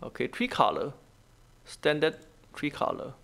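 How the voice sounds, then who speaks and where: Man with creaky voice, halting game commentary. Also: on the high side, huge pitch swings, a bit bright. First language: not English. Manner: halting, repetitive short phrases. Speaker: gaming guide host. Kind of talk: casual gameplay walkthrough commentary.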